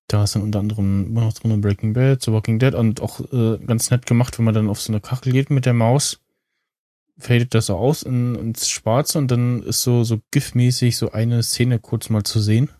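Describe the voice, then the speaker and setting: A man co-hosting a podcast, talking casually and haltingly as he thinks aloud. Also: medium pitch, warm tone, no room sound, clean studio sound. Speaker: podcast co-host. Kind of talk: casual, unscripted podcast chat about tech and streaming.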